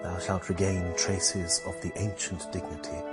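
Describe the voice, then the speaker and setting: An actor playing an earnest, resigned Russian nobleman in a solemn, deliberate radio drama monologue. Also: sits low, flat delivery, loud music behind the voice, close to an everyday voice.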